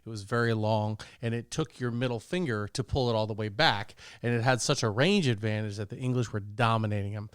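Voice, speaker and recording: A man's voice: on the low side, a little fast, few pauses, clear, resonant tone, no room sound, studio quality. A man telling stories confidently and profanely in casual talk show banter.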